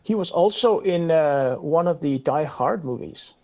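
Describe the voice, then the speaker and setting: A man's voice: fairly high pitch, expressive, measured pace, warm tone. A man from Denmark, chatting casually on a podcast panel, rambling and swearing offhandedly. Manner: rambling, looks things up aloud, swears casually. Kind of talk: casual chat.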